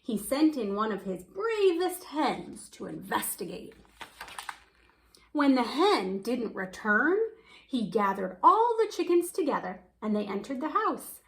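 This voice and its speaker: Lively woman reading a children's storybook. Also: on the high side, vocal fry, rough recording. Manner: animated storytelling with emphatic, drawn-out words. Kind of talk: expressive read-aloud of a picture book.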